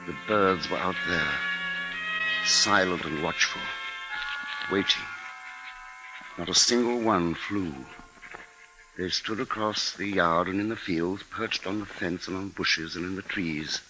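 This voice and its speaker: A low-voiced man as a grim husband and father in a 1950s radio play. He is deliberate and grave, with a music underscore behind his words. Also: sharp tone, full character voice, poor audio. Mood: grave, foreboding. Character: grim, capable husband and father narrating a siege. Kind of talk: 1950s radio drama acting and first-person narration.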